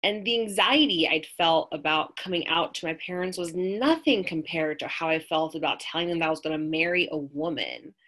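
A woman in her thirties with an American accent, reading her own personal essay aloud in a measured, reflective way over a webcam at home. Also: deep voice, a bit bright. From United States. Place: small room at home, indoors. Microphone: webcam on a video call.

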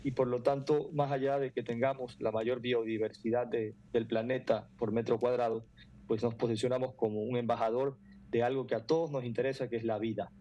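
A middle-aged man, explaining earnestly and haltingly as an interview guest on a video call. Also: mid-range voice, flat delivery, mellow, highs cut off. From Ecuador. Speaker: foundation president, interview guest. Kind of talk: interview answers. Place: room indoors at home or office.

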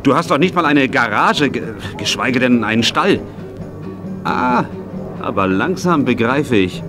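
An actor playing a kindly, hearty estate owner in a children's radio play, in standard German. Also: male voice, mid-range voice, big pitch swings, measured pace, music in the background. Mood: curious, bantering.

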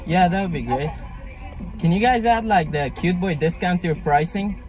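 A man's voice: sits high, moderate pace, a little mellow, soft background music. A young man chatting casually and teasingly in slang, recorded inside a car. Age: young adult. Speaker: prankster at drive-thru windows. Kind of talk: casual joking chat. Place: inside a car at drive-thrus.

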